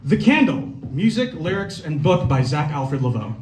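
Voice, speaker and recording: A man with a high voice narrates stage directions from a script. He sounds distant from the microphone, in a clearly echoing room. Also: sing-song, moderate pace, a little mellow, a little hiss or hum. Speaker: announcer and stage directions reader. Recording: echoey room from far off, music.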